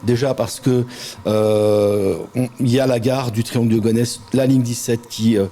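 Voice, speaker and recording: A middle-aged man, a local elected official, answering interview questions in a measured way with frequent hesitant pauses. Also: medium pitch, fairly even intonation, dark tone. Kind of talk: interview answers, political talk.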